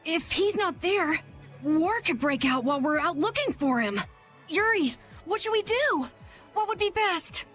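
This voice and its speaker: A woman voicing an anxious, excitable young boy adventurer in a video game, with urgent delivery. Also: extremely high pitch, unhurried, exaggerated voice. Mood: anxious, unsure. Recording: music under the voice.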